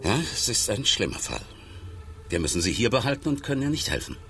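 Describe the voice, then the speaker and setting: An actor playing a blunt, hardened surgeon in a radio drama, wry and matter-of-fact. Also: male voice, on the low side, big pitch swings, fast, long reverb tail, music in the background. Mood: irritated, blunt. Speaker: surgeon and hospital doctor.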